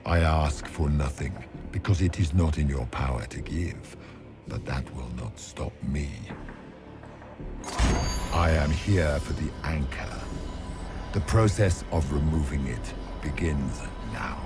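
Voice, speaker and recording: Ancient monstrous would-be god, bottomless bass, slow menacing oratory, imperious, contemptuous, game villain, loud score behind. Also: male voice, loud music behind the voice. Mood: commanding, contemptuous. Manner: grandiose, menacing, deliberate oratory. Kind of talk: theatrical video game villain acting.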